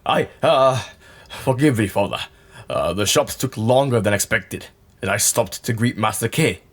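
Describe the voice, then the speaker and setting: A man plays a dutiful young son in an audio drama. He sounds earnest and deferential, speaking haltingly in a fairly low, husky voice. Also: animated. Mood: nervous, apologetic.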